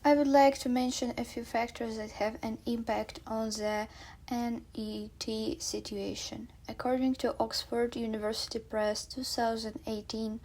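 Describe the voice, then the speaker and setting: A woman's voice: fairly high pitch, little pitch movement, unhurried, a little mellow. A young woman from Ukraine, a student presenting at a seminar, reading lists of cited points and spelling out terms.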